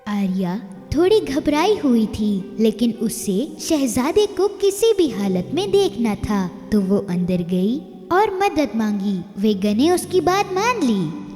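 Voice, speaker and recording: A woman's voice: fairly high pitch, very animated, takes it slowly, warm tone. A woman narrating a scripted fairy tale for children as a storyteller. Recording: long reverberant echo. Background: faint music.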